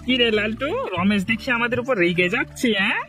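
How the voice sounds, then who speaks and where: Man acting a cartoon village villain, fast-talking, jeering, selfish, bright high voice. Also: over loud music. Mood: mocking. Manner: jeering, gloating. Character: mocking, selfish village bully hoarding water. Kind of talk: Bengali cartoon story dialogue.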